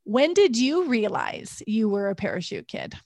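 A woman's voice: fairly high pitch, sing-song, normal speed, a little mellow, no echo. A woman hosting a podcast, asking clarifying questions and giving frequent short acknowledgements. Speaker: podcast host. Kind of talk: interviewing a guest.

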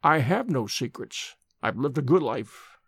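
A man reading a story voices a dying old nobleman who speaks slowly in a hollow, weak voice. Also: animated, breathy edge, no room sound. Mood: defiant. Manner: slow, low and grudging.

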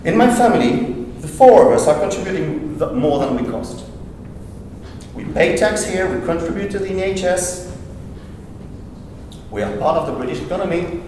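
A man's voice: high-pitched, animated, normal speed, long pauses, a little mellow. A man from France with a French accent, giving a wry, emphatic public speech at a forum launch. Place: large event hall. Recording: echoey hall from far off, noisy.

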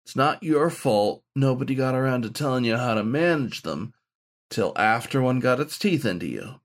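A gruff badger mentor played by a man in an audio story. He explains things frankly and informally, with lots of rise and fall in a mid-range voice. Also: medium pitch, lively intonation, normal speed, pitched above the performer's own voice, natural voice. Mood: reassuring.

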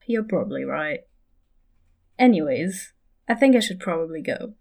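Lower-voiced, wary woman. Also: lively intonation, moderate pace, creaky, a little mellow. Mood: wary, polite. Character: wary, inquisitive woman visiting an old friend. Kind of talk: audio drama dialogue.

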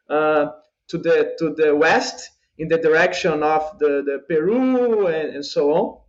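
A man with a Brazilian accent, a native Portuguese speaker, answering podcast questions as a guest, halting with many repeated words and restarts. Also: a little high, lo-fi sound. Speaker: guest UFO researcher.

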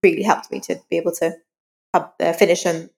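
A woman's voice: fairly low pitch, level tone, brisk, creaky. Woman in a reverberant space, chatting informally. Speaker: interview guest, novelist. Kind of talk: conversational interview, personal anecdotes. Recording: long reverberant hall echo.

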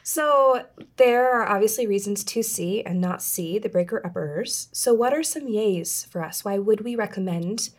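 A woman's voice: mid-range voice, normal speed, hardly any pauses. The woman steering a film podcast among close friends, teasing them and poking fun at herself. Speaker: podcast host. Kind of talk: casual chat with scripted introduction.

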